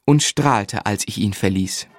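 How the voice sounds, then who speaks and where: Guy playing earnest young man telling his story in a radio drama, gentle, bit of music behind. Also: fairly low pitch, unhurried, no echo, close to an everyday voice. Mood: reflective narration. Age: young adult. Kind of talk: narration and dialogue in radio play.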